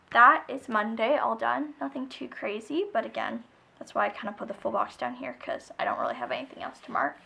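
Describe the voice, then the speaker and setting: Soft-spoken hoarse young woman, gravelly. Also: fairly high pitch, poor audio. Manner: soft-spoken, rambling, often drops to a near whisper. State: slightly hoarse. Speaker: planner vlogger. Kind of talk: casual chat while decorating a planner.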